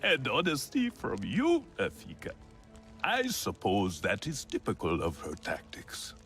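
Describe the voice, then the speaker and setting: An actor playing a grumpy, disdainful alien cook in a video game, with a sardonic, pompous manner. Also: male voice, on the low side, wildly swooping pitch, a little slow, fairly bright tone, faint music behind, full character voice. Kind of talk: dramatic video game acting.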